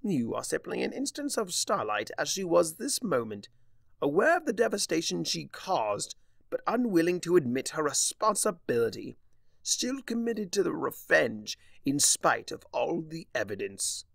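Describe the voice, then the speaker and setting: A man's voice: a little high, very animated, moderate pace, gravelly, a bit bright, dry recording, studio quality, pitched above the performer's own voice, heavily acted. A man voicing a mocking, vengeful chaos creature in a fan fiction reading, with a pompous, wordy, taunting manner. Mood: smug mocking. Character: theatrical, mocking, vengeful male chimera trickster of chaos.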